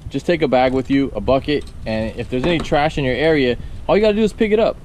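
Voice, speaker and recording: A laid-back man at an average pitch earnestly explains a challenge to his viewers while out fishing. He is recorded on a camera outdoors with faint outdoor noise behind him. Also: fast talker, darkish tone. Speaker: fishing vlog co-host. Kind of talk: casual outdoor vlog chat. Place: outdoors by a canal.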